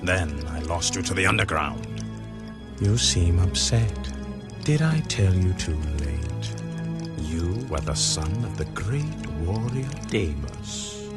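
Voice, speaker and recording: An actor playing a cruel, sinister, mocking male villain in an animated video game. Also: low-pitched, slow pace, full character voice. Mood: gloating, mocking. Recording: music under game dialogue.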